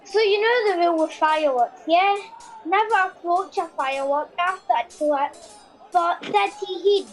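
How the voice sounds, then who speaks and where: A woman's voice: very high-pitched, moderate pace, soft background music, rough recording. A woman playing a chatty, childlike Scottish soldier spinning a tale in a radio comedy play. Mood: chatty, cheeky.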